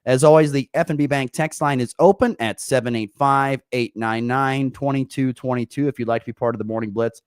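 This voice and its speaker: Hoarse male sports talk host, upbeat, filler-heavy, mid-pitched, dry close sound. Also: steady tone, a little fast, hardly any pauses, no echo. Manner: upbeat, rambling with many uh fillers. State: hoarse, slight sore throat. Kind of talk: morning sports talk show.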